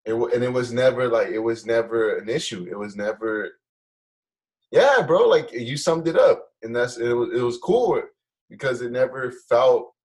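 Slangy young man with a medium-pitched voice. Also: lively intonation, normal speed, darkish tone. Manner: slangy, loose, affirming. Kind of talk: casual chat between friends.

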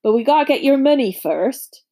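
A woman's voice: high-pitched, animated, normal speed, dark tone, pitched above the performer's own voice. A woman voicing a big, good-natured man with a blunt, folksy, emphatic way of talking in a storybook. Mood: brisk, hearty. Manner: folksy, informal, emphatic speech.

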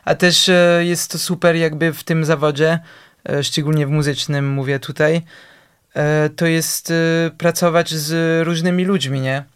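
A man's voice: on the high side, fairly even intonation, measured pace, clean tone. A young man, a musician, talking in an interview, hesitant with many fillers and restarts. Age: young adult. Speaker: guest, musician. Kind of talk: casual interview talk.

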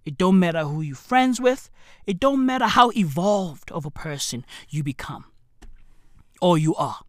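A comedian from Africa, delivering an emphatic, repetitive rant to camera, heavy with swearing and dropping at times to a whisper. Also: male voice, sits high, expressive, normal speed, no echo, clean studio sound. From South Africa. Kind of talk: ranting monologue to camera.

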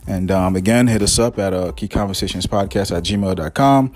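A podcast host in his forties, originally from Haiti, talks quickly in a relaxed, conversational way. His voice has a slightly husky edge. He keeps up a steady run of fillers and restarts. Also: sits low, no room sound, soft background music. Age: 40s. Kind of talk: casual interview chat.

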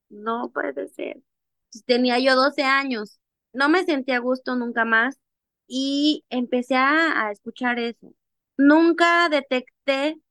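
A young adult woman from Mexico's Costa Chica, with a Costa Chica accent, telling her own story in an interview in an animated way. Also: a little high, no echo. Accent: Costa Chica Mexican Spanish. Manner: animated, acts out remembered dialogue. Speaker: interview guest, activist. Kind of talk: personal storytelling in conversation.

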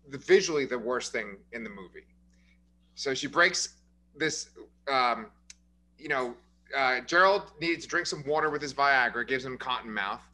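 A man's voice: medium pitch, a little hiss or hum. A man co-hosting a casual movie review podcast, telling scary scenes with lots of swearing and laughter. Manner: profane, laughing, animated storyteller. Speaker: podcast co-host.

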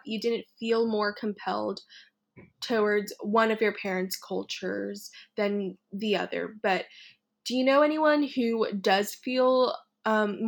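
A woman interviewer asking careful, measured questions. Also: medium pitch, vocal fry.

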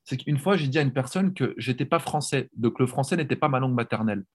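A man talking informally as a guest on a chat podcast. His voice sits at a middling pitch. He speaks with animated energy and repeats words as he restarts his thoughts. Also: quick pace, husky. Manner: animated, frequent restarts and "tu vois". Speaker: language coach, podcast guest. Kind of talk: casual podcast conversation.

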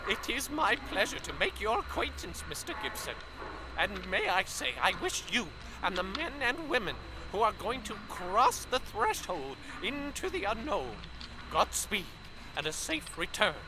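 A man's voice: sits high, sing-song, unhurried, background noise, exaggerated voice. An actor playing a formal male professor who speaks with stately, courteous phrasing. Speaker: university professor.

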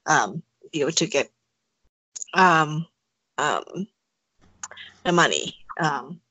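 A woman's voice: low-pitched, expressive, extremely slow, bright, forceful tone, slightly rough, dry recording, highs cut off. A woman from Thailand, speaking English with a Thai accent, answering interview questions in a hesitant way with many fillers and restarts. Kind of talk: casual interview conversation.

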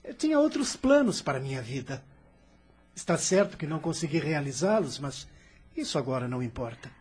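A high, forceful returned son. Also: male voice, very animated, brisk, husky, heavily acted. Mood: persuasive. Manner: commanding, then pleading. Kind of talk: radio drama acting.

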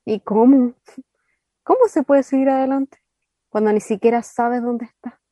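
A woman's voice: a little high, steady tone, unhurried, warm tone, no room sound, clean studio sound. An actress with a Chilean accent playing a tender young woman haunted by family grief, earnest and emotive in radio drama dialogue. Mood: grieving.

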